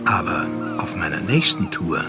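An actor playing a sympathetic sea captain who is confidant and narrator in a German radio play. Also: male voice, low voice, very animated, moderate pace, loud music behind the voice. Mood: concerned, reflective.

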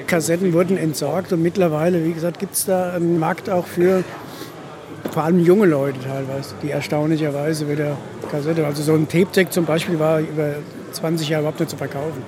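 A middle-aged man with a somewhat high voice talks off the cuff as a market vendor. A busy crowd fills the hall behind him. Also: steady tone, brisk. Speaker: record fair vendor. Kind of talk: spontaneous vox-pop interview. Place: large busy fair hall. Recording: busy crowd around the speaker. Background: crowd chatter.

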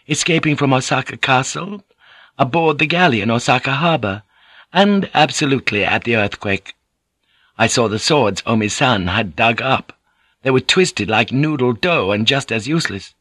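A man's voice: mid-range voice, a bit bright, dry recording, pitched above the performer's own voice. A man doing a deferential young noblewoman. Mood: earnest. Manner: polite, pleading, earnest. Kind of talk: audiobook character voice.

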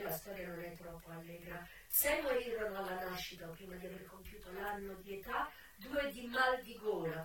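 An actress playing a frank, unrepentant brothel madam who tells her life story in an earthy, wry, deliberate theatrical monologue. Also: medium pitch, a bit bright, lightly acted. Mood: sombre, matter-of-fact. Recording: echoey, distant voice with music.

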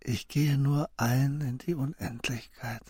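An older actor playing a serene, wise old shopkeeper in a radio drama, breathy, tender and philosophical. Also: male voice, mid-range voice, fairly even intonation, unhurried, warm tone, no room sound, clean studio sound. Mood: serene, weakened. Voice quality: husky, breathy.

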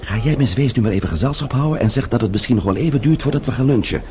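A man's voice: medium pitch, expressive, rapid-fire, mellow, lightly acted. A man acting an anxious, earnest younger man in a Dutch radio drama, speaking with urgency. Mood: agitated, urgent. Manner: urgent, agitated. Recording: old radio drama with background noise.

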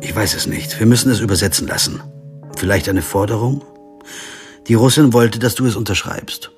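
A male actor as a sober lawyer telling his own crime story in a radio play. His voice is low and observant, with music underneath. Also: moderate pace, natural voice. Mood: matter-of-fact. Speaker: narrator and lead actor. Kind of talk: radio crime drama narration and dialogue. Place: studio.

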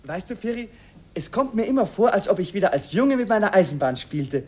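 A man's voice: high voice, big pitch swings, a little fast, a little mellow, breathy edge, full character voice. A man playing a polite, eager young student in a radio play, boyish and a little hesitant. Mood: playful.

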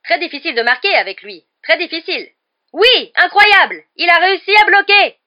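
A woman voicing an excited, partisan schoolboy shouting cheeky live sports commentary in an audiobook. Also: sits high, moderate pace, pitched above the performer's own voice. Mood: admiring, excited. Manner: exclamatory, shouting, openly biased.

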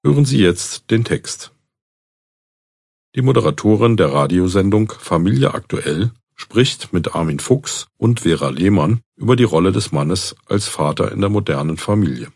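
A man with a gravelly voice reading exam instructions slowly and deliberately. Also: low-pitched, expressive, a little mellow, no echo, clean studio sound. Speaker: exam announcer. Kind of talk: scripted instructions.

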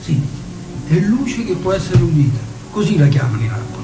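An earnest, bookish friend, played by a man in a radio play. The voice explains things with theatrical care, distant and echoing, with music clearly behind it. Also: a little high, very animated, fairly quick. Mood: hushed wonder. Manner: hushing, explaining. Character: earnest, bookish boyhood friend enchanted by the aurora. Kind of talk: theatrical radio drama acting.

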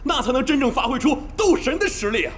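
A man's voice: extremely high pitch, very animated, fast, slight background noise, exaggerated voice. A man playing a fawning, sneering young teammate in an audio drama, gushing and obsequious. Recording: loud crowd of voices behind.

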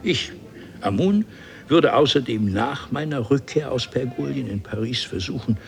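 An actor playing a haughty, eloquent nobleman from an ancient civilization, telling his story theatrically and deliberately in a 1970s German radio play. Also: medium pitch, lively intonation, creaky. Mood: indignant, agitated.

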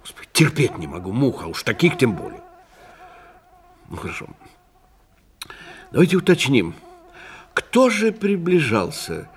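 An actor in a radio play voicing a genial, shrewd police inspector, chatty and avuncular, with musing hums and repeated words. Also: male voice, medium pitch, wildly swooping pitch, unhurried, pauses often. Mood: musing, inquisitive.

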